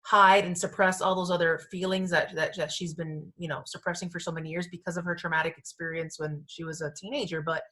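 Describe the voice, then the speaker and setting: A woman's voice: on the low side, flat delivery, quick pace, few pauses, fairly bright tone. A woman hosting a casual pop culture podcast, gushing and emphatic, with informal slang and phrases repeated for emphasis. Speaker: podcast host.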